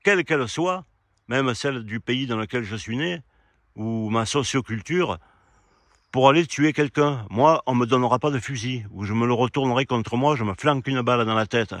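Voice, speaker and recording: A man giving a rambling, argumentative opinion monologue, speaking directly to his listeners in an indignant way and halting to search for words. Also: mid-range voice, a bit bright, no room sound. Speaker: amateur video commentator. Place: quiet spot outdoors.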